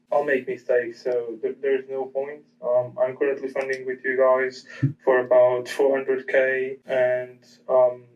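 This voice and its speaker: Male guest from Portugal, flat hesitant delivery, muffled distant mic. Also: medium pitch. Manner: hesitant, many ums and restarts. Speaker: guest trader. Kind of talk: casual interview answers.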